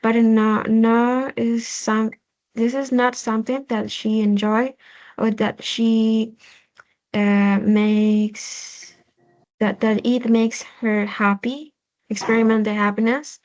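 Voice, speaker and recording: A woman, a student answering in English on a video call, halting and searching for words. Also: on the high side, flat delivery, darkish tone, highs cut off.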